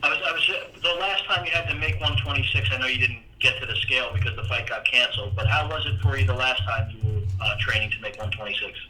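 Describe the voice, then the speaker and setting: A man's voice: medium pitch, steady tone, fast talker, hardly any pauses, bright, forceful tone. A man, a sports reporter, asking conversational questions on a press conference call. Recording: phone line with faint noise.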